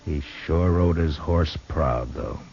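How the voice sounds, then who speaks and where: An American man playing a grave, steady frontier marshal in a 1950s radio western, measured and laconic. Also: a bass voice, exaggerated voice. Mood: brooding. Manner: measured, laconic, plain-spoken. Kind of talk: 1950s American radio drama acting and first-person narration. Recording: dull 1950s radio recording with music.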